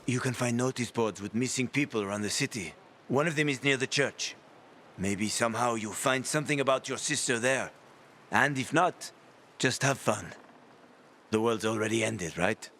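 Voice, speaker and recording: A man's voice: mid-range voice, normal speed, a bit bright, slight background noise, lightly acted. A man acting a roguish, easygoing survivor and guide in a video game, breezy and persuasive with a wry edge.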